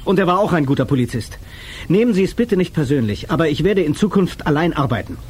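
An actor playing a grim, coldly polite lone-wolf action hero in a German-dubbed television drama. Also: male voice, on the high side, very animated, fast, a little mellow, slightly rough. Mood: grim, resolute.

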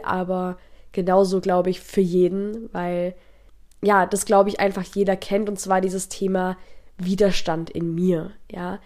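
A young woman hosts her own podcast while she has a cold, so her voice comes out slightly nasal. Her tone is mellow and soft, and she talks in a reflective, searching way, filling pauses with ums. Her pitch sits in the middle range. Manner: thoughtful, reflective, with frequent fillers. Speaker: podcast host. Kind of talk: casual spoken podcast monologue.

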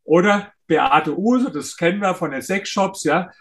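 Guy guest author, kinda high voice, explains stuff, says uh a lot. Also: measured pace. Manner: explanatory, hesitant with frequent uh fillers. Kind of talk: interview conversation.

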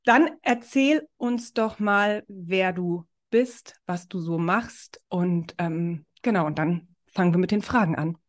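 A woman's voice: lowish voice, slow pace, few pauses. Upbeat woman hosting a podcast. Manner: upbeat, encouraging, chatty. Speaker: podcast host. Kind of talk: casual podcast interview.

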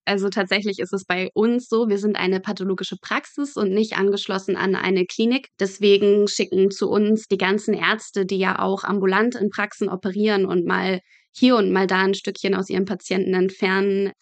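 A woman's voice: medium pitch, flat delivery, normal speed, no room sound. A woman guest in a casual interview, explaining her work in conversational terms. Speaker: podcast guest, medical student working in pathology.

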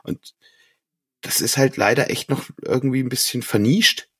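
A man's voice: medium pitch, moderate pace, fairly bright tone, breathy edge, no room sound, studio quality. A man casually discussing music on a podcast, gushing and emphatic, with plenty of slang. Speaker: podcast co-host.

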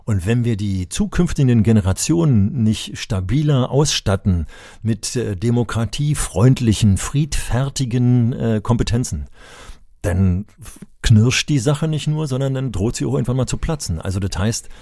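A man's voice: mid-range voice, expressive, normal speed, dark tone, no room sound, clean studio sound. An older man from Berlin co-hosting a podcast, talking emphatically and getting heated at times. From Germany (Berlin). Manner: impassioned, emphatic when angered, rhetorical. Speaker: podcast co-host, education advocate. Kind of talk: conversational podcast discussion.

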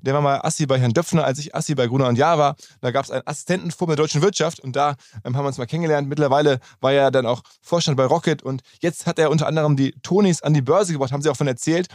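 A man's voice: fairly high pitch, racing, few pauses, darkish tone, no echo, studio quality. A man hosting a podcast, speaking in a chatty, informal way. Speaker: podcast host. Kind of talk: casual podcast talk and interview, with host-read ad.

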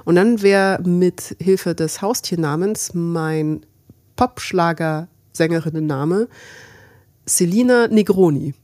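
Low-voiced woman chatting, close and dry. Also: takes it slowly, a little mellow, no echo. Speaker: podcast co-host. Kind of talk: casual conversational podcast chat.

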